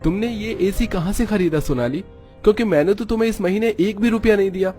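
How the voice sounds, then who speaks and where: A man voicing an exasperated husband short of money in an animated family story. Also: sits high, fairly quick, faint music behind, close to an everyday voice. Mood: suspicious. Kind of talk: animated Hindi story acting.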